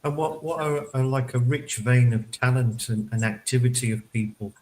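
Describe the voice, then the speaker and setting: A man's voice: mid-range voice, normal speed, darkish tone, rough recording. A man co-hosting a chat show, musing aloud and searching for his words. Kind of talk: casual studio chat.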